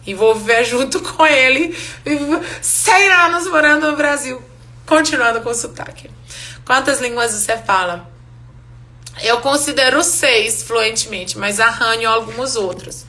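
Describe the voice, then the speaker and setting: A woman's voice: on the high side, unhurried, pushed and bright. A woman from Romania, a native Hungarian speaker with a Hungarian accent, teaching a live online language class, switching between Portuguese and English and laughing often.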